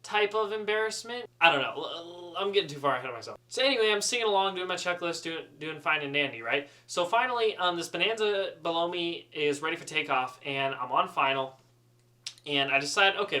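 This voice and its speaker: A man telling a casual, humorous story in an animated, chatty, self-deprecating way. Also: fairly high pitch, fast. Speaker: student pilot storyteller.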